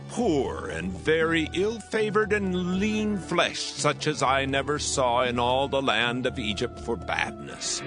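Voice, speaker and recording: A man playing a troubled Egyptian king in a dramatized scripture reading, solemn, deliberate and theatrical. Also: mid-range voice. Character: troubled Egyptian king recounting a strange dream. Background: soothing background music.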